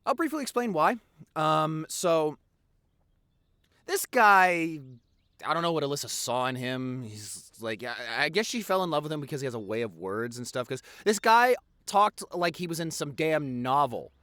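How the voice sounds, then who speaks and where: A man playing a chatty, protective teenage boyfriend in an audio roleplay, rambling and halting as he reminisces, repeating words for emphasis. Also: fairly high pitch, sing-song, a bit bright, no room sound, studio quality. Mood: exasperated.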